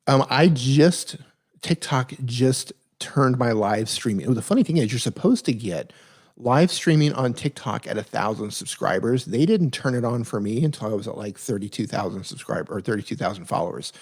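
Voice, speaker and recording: A man's voice: medium pitch, animated, normal speed, slightly rough. A man hosting a live-stream Q&A, giving plain-spoken, direct advice in a casual, conversational way and often correcting himself mid-sentence. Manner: reads viewer questions aloud, then answers conversationally. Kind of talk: casual live-stream chat answering viewer questions.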